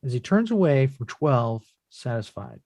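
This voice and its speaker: Male stage-directions reader, medium pitch, scripted narration, dry close recording. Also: sing-song, measured pace, dark tone, dry recording.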